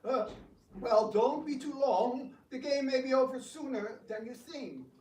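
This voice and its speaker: A man's voice: extremely high pitch, a little slow, darkish tone. A man playing a proud, scheming gambler prince in a dramatic reading, theatrical and smug, with feigned politeness. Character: proud scheming prince, sly chess gambler, sore loser. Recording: roomy distant microphone, faint noise.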